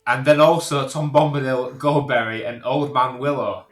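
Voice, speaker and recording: A man's voice: medium pitch, fairly even intonation, normal speed. A man talking casually as a podcast guest, informal and enthusiastic. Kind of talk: casual chat. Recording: echoey room.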